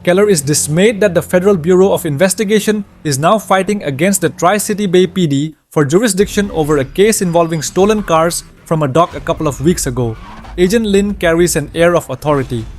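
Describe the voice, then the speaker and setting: A man's voice: fairly high pitch, a little fast, a little mellow, a little hiss or hum. A man reading a scripted narration that retells a video game story.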